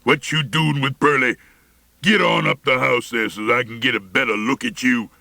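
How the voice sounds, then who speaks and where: A man voicing a gruff old backwoods grandfather who booms and barks orders in an audiobook story. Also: fairly even intonation, moderate pace, bright, forceful tone, no echo. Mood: commanding, angry. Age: older. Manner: barking, roaring orders.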